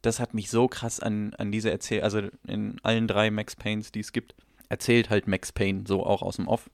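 A man's voice: lowish voice, little pitch movement, moderate pace, creaky, dry recording, studio quality. A man chatting casually and enthusiastically about a film on a podcast, in loose colloquial speech. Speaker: podcast co-host. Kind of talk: casual film review chat.

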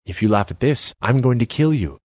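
Man voicing haughty young female artist, high, preachy, dry close sound. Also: animated, a little fast, a little mellow, no room sound, lightly acted. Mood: haughty lecturing. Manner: scornful, lecturing, self-important.

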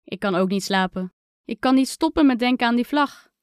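A woman's voice: on the high side, a little fast, smooth, dry recording, studio quality, close to an everyday voice. A woman voicing an eager, encouraging young boy captain in a children's adventure story. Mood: sleepy, curious. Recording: music under the voice.

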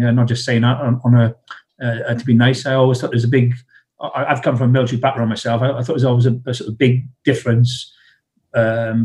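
A man's voice: medium pitch, a little mellow. A man with a South Welsh accent and a slight cough, talking over a video call as an interview guest, hesitant and full of restarts. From South Wales. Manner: hesitant, many restarts and fillers. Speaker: guest, ex-Royal Marine.

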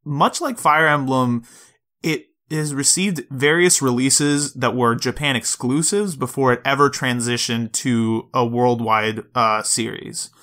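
Bright-voiced man hosting a casual podcast. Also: medium pitch, steady tone, unhurried. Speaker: podcast host. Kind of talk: casual podcast chat about retro video games.

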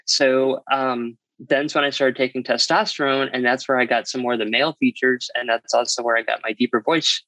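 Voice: medium pitch, steady tone, a little fast, hardly any pauses, fairly bright tone, no room sound, low-quality recording. An interview guest telling a personal story in a candid, explanatory, matter-of-fact way.

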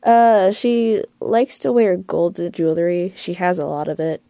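A woman's voice: lowish voice, unhurried, vocal fry, dark tone, no room sound. Casual woman chatting in a dry, close recording. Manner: hesitant, piecing thoughts together as they go. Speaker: voice actor being interviewed about their role. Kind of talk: casual interview chat.

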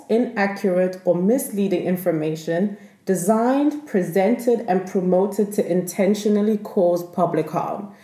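Woman podcast host, mellow voice. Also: medium pitch. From South Africa. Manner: relaxed and explanatory, with frequent fillers. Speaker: podcast co-host, law researcher. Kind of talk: conversational podcast explanation. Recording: echoey room.

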